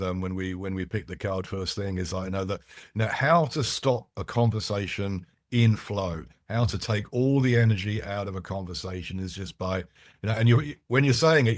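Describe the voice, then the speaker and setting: Low-voiced man explaining at length. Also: normal speed, no echo, studio quality. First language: French. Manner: explanatory, many restarts and asides. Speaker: podcast guest. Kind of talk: casual conversational interview.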